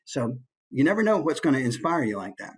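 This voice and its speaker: A man's voice: mid-range voice, brisk. A man giving a casual, playful instructional talk as a voice actor. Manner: animated, playful.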